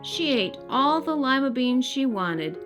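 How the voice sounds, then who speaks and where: A woman narrating a children's story as an expressive read-aloud. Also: high-pitched, measured pace. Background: faint music.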